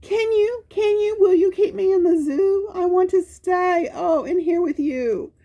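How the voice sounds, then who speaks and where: A woman's voice: very high-pitched, unhurried, mellow, muffled recording, voice pushed up. A woman voicing an eager, pleading spotted leopard from a children's storybook, emphatic and repetitive. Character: eager, pleading young male spotted leopard wanting acceptance. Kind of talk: children's storybook character reading.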